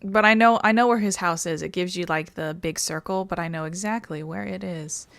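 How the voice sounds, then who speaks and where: A woman's voice: on the low side, brisk, gravelly, fairly bright tone, dry recording. A woman giving casual gameplay commentary as a game streamer.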